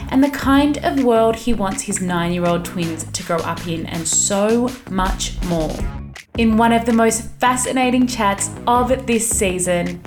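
A woman hosting a podcast, bubbly and effusive, with a loud, projecting voice. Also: mid-range voice. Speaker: podcast host. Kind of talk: podcast intro and interview. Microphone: studio microphone.

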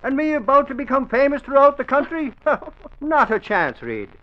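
A man's voice: extremely high pitch, very animated, moderate pace. An actor playing a boastful, gullible Irish newspaperman with a pompous, blustering manner in an old radio comedy-drama. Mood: self-important. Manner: pompous, blustering, self-important. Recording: muffled old radio recording.

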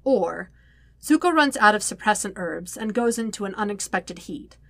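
A woman narrating fan fiction as a scripted story, with expressive, dramatic emphasis on key words. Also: mid-range voice, normal speed, dry recording. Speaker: podfic narrator, reading own fan fiction.

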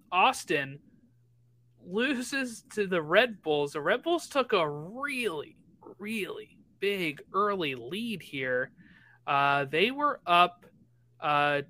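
A man co-hosting a soccer talk show, running through the week's scores one after another. His voice is bright and forceful, and it sits a little high for a man. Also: big pitch swings. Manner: rattles through results quickly. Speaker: podcast co-host. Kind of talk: casual sports chat.

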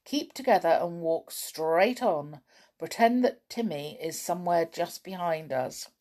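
A woman voicing a sensible older schoolboy who leads the group with firm, quiet commands. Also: fairly low pitch, lively intonation, a little slow. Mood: urgent.

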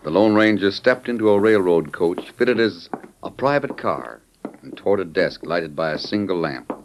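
A man's voice: low-pitched, lively intonation, moderate pace. A man narrating an old-time radio western in a dramatic, scripted style. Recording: faintly noisy old radio recording.

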